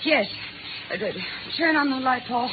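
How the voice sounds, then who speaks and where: An actress playing a deceitful, defensive wife in a 1950s radio drama, strained and stammering. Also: female voice, sits high, normal speed, background noise, lightly acted. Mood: evasive. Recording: tinny old radio recording, music behind.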